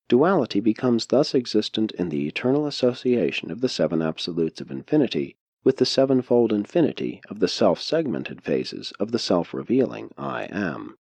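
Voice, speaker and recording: A man reading a spiritual text as an audiobook narrator, in measured, deliberate phrasing. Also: fairly low pitch, darkish tone, no room sound.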